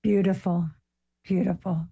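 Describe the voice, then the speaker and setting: A woman's voice: on the low side, little pitch movement, measured pace, creaky, warm tone, breathy edge, dull audio. A woman hosting a literary interview, speaking to her guest as interviewer. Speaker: interviewer, host.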